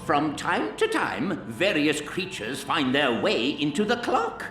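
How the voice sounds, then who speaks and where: A man's voice: a little high, very animated, slow pace. A man playing a kindly, whimsical old alien father in a video game, with a fond, theatrical delivery. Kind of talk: video game cutscene acting. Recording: echoey, distant, loud music behind.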